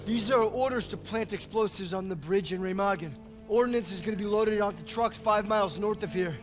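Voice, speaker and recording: An actor playing an earnest young soldier, explaining orders plainly. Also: male voice, sits high, a little fast, faint music behind, lightly acted. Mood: matter-of-fact.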